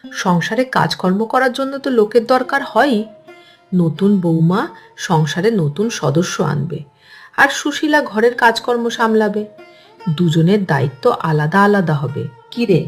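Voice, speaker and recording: A woman's voice: mid-range voice, lively intonation, normal speed, soft background music, natural voice. A woman voicing a gossipy, scheming older grandmother in a cartoon story, with a chatty, pushy, persuasive manner. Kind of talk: Bengali cartoon story dialogue.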